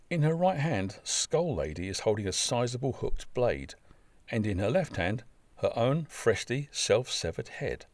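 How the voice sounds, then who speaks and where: A man reading a scripted humorous travel story in a wry, droll manner with deadpan asides. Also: mid-range voice, no echo.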